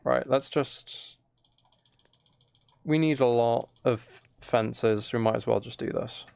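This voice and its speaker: Gravelly man chatting on a game stream. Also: mid-range voice, slow, a little mellow, no room sound. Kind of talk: casual livestream chat while gaming, relaxed ASMR.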